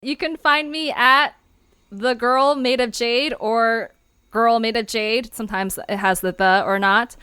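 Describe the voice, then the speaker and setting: A woman's voice: a little high, fairly even intonation, a little slow, sharp tone, clear, resonant tone, no room sound, studio quality. A woman hosting a fiction podcast, chatting casually in a playful, informal way. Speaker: host and creator of a fiction podcast. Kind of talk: casual chat.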